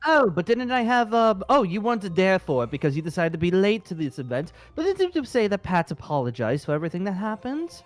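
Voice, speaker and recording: A man's voice: high-pitched, big pitch swings, brisk, hardly any pauses. A man playing an ancient, powerful, patronising teacher in an amateur game roleplay, speaking in a genteel, theatrical way. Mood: smug, pleased.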